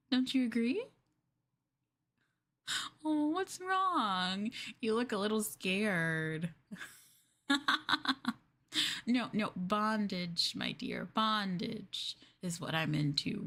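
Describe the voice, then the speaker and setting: Slow, coy, possessive girlfriend played by a woman. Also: medium pitch, close to an everyday voice. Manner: coy, doting, giggly, hesitant phrasing. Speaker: ASMR roleplay streamer. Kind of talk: ASMR girlfriend roleplay, improvised-sounding.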